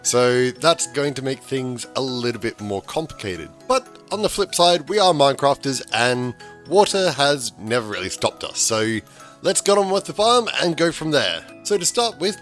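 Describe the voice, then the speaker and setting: A man, slightly hoarse because he is losing his voice, talking through a game-building tutorial in a chatty way with frequent restarts. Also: medium pitch, big pitch swings, moderate pace, a bit bright, faint music behind. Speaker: gaming tutorial host. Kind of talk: casual game tutorial voice-over.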